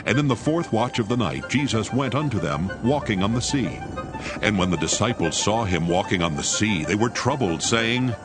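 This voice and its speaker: A man narrates scripture in a measured, solemn way, his voice low and lively in intonation. Music plays steadily beneath him. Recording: music bed behind voice.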